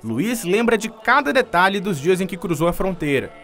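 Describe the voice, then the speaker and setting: Brief: male TV reporter, high and animated voice, scripted narration. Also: normal speed, music in the background, crowd noise. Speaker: TV news reporter.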